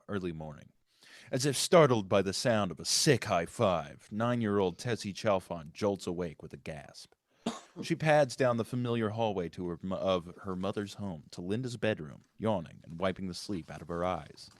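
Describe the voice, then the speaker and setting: Man, low pitch, dry close sound, script narrator, stage directions, small self-corrections. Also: expressive, moderate pace, dry recording. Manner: stumbles and corrects himself while reading. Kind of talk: scripted narration at live table read.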